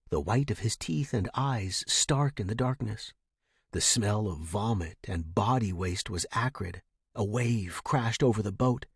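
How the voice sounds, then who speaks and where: Clear, dry-recorded man narrating fiction. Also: medium pitch, no echo. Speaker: audiobook-style fiction narrator. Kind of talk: dramatic scripted story narration.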